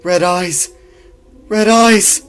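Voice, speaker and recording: A man's voice: sits high, extremely slow, fairly bright tone, clean tone, full character voice. An actor playing an anguished, lovesick young man in a vampire radio drama, exclaiming melodramatically. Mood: horrified.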